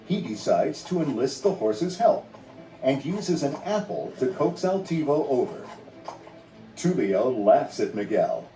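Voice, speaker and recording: A man's voice: mid-range voice, very animated, measured pace, mellow. A man reading a children's read-along story in a dramatic, scripted storytelling manner. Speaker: narrator. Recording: roomy, distant sound under music.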